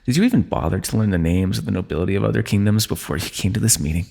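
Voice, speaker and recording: A dry, teasing fantasy king, played by a man in an ASMR roleplay, wounded but unbothered. His voice sits low, with a gravelly, husky grain. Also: very fast. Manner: dry, teasing, calm understatement. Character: wry wounded male ruler, teasing old comrade.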